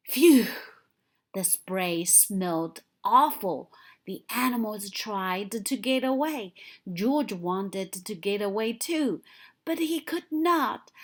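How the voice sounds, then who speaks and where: A woman reading a picture book aloud to young children in an expressive storytelling style, with dramatic emphasis on key moments. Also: a little high.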